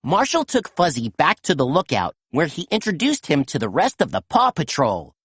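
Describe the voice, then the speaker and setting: A woman reading a children's picture book aloud in a gentle, expressive storytelling manner. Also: sits low, moderate pace, slightly rough, no room sound, clean studio sound. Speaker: storytime reader.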